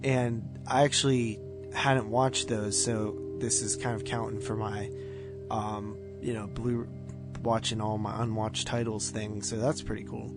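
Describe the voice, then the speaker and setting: A man co-hosting a podcast, talking about movies in a casual, halting, conversational way. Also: lowish voice, level tone, over loud music. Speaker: podcast co-host. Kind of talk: casual movie review chat.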